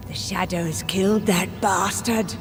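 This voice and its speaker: A woman's voice: mid-range voice, fairly even intonation, a little slow, close to an everyday voice. An actress playing a frail, bitter undead woman in a video game, taunting and savouring her words with sinister glee. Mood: bitter relish. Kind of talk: dramatic video game acting. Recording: long hall reverb, loud music behind.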